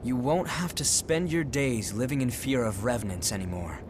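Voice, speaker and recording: A man playing a calm, earnest, compassionate young leader in a video game, speaking in a measured, gentle, serious way. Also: mid-range voice, noisy background, lightly acted. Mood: calm, reassuring. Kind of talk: English video game voice acting.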